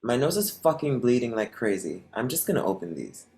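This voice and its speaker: A man's voice: mid-range voice, big pitch swings, fairly quick, a little mellow. A man playing a jaded, tough middle-aged prostitute in a screenplay table read, blunt and weary. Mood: irritated.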